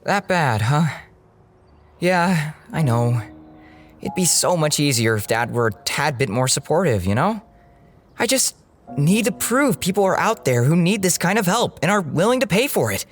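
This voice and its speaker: Nerdy young dreamer boy, played by a woman, pleading and idealistic, swinging widely in pitch, audio drama. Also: big pitch swings, normal speed, soft background music. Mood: dejected, confiding. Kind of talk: scripted audio drama acting.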